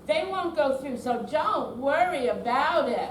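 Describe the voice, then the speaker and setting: Brief: woman as glib female bank impostor, high voice, officious and soothing, readers theater, recorded from far off. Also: expressive, a little slow. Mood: reassuring. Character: smooth, reassuring woman con artist posing as bank caller. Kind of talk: amateur readers theater stage reading. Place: indoor senior center performance room. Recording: distant echoey hall, faint noise.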